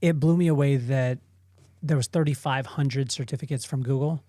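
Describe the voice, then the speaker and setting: A man hosting a podcast, playful and teasing, tossing out quick questions. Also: medium pitch, mellow, no echo, clean studio sound. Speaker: podcast host. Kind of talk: casual interview conversation.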